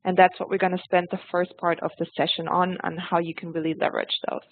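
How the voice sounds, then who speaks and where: A woman from Germany with a German accent, presenting a software tutorial webinar in a friendly, explanatory way and speaking straight to her audience. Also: fairly low pitch, flat delivery, quick pace, no room sound. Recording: dry tinny phone-like line.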